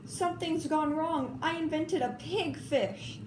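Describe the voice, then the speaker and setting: A woman voicing an excitable male pig inventor in a children's storybook, with squealing, dramatic exclamations. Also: high-pitched, unhurried, voice pushed up. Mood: alarmed. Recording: roomy, clearly noisy home recording.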